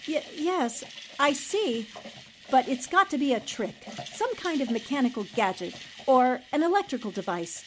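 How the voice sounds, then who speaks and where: A woman's voice: high-pitched, sing-song, normal speed, a little hiss or hum, poor audio. A woman playing a level-headed, skeptical newspaper reporter in the style of 1940s radio drama. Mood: doubtful.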